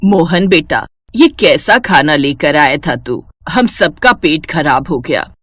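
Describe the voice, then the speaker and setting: A woman voicing a kindly, homely elderly village mother-in-law in a cartoon story, in a slow, motherly manner. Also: deep voice, lively intonation, fairly bright tone, no room sound, clean studio sound, pitched below the performer's own voice, exaggerated voice. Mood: complaining.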